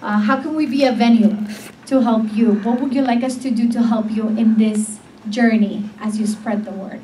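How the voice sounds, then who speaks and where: A bilingual woman at a public talk asks her question, sliding between English and Spanish. Her voice is slightly high and mellow. The room rings around her, and she is captured from far away with a faint hiss. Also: fairly even intonation, normal speed. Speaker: audience member at a talk. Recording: roomy, distant with faint noise.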